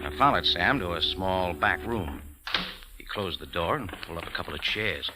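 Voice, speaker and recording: Hardboiled American expatriate, low pitch, lively swooping delivery, wry and laconic, vintage radio drama. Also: male voice, normal speed, low-quality recording. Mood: moody narration. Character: wry American café owner in Cairo, hardboiled adventurer. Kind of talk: 1940s radio drama acting with first-person narration. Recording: old radio recording with organ music.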